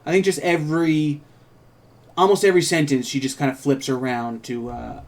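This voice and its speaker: A man with a moderately high voice chats as a writer and host. He thinks aloud, rambling casually through creative ideas in loosely joined phrases. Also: steady tone, normal speed. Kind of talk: casual creative discussion and script narration.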